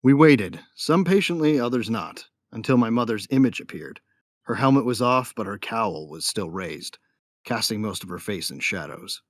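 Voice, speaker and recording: Low-voiced cocky bounty hunter narrator, acted by a man. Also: expressive, moderate pace, dry recording, studio quality, natural voice. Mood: wry, confident. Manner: wry, self-satisfied. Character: cocky, violent young male bounty hunter narrating his story. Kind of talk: first-person dramatic narration.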